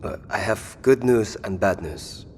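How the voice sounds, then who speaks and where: Casting: patient, insistent caretaker, low voice, reverberant space with faint noise. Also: measured pace, a little mellow. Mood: apologetic. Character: caring, firm companion nursing a wounded partner. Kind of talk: audio drama acting.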